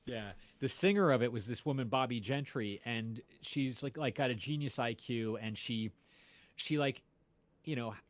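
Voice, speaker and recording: A man's voice: medium pitch, moderate pace, no room sound. A man, a podcast host, chatting casually about music with many fillers and restarts.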